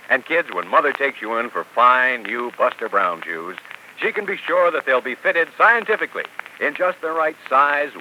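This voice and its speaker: A man's voice: medium pitch, sharp tone. A man announcing a 1940s radio commercial in a cheerful, salesy way aimed at children, on a dull, hissy old broadcast. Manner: cheerful, salesy, addressing kids.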